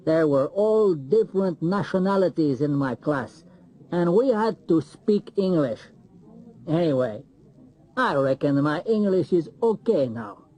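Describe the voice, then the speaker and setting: A man's voice: sits high, dark tone, low-quality recording. A man playing a travel agent. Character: Mexican travel agent, relaxed. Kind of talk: scripted textbook monologue.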